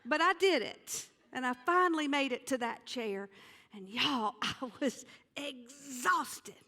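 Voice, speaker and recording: A woman with a Southern US accent telling comic stories on stage in a folksy, dramatic manner with pauses for effect. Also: sits high, pushed and bright. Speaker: comedian and storyteller. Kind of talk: theatrical comic storytelling to an audience. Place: theater stage.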